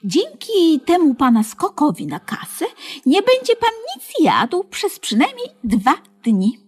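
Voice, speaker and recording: An actress playing a fussy, nagging, long-suffering pensioner in a radio comedy, prim and inquisitive, stammering in exasperation. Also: female voice, high voice, huge pitch swings, measured pace, exaggerated voice. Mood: exasperated. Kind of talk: comedic radio play acting.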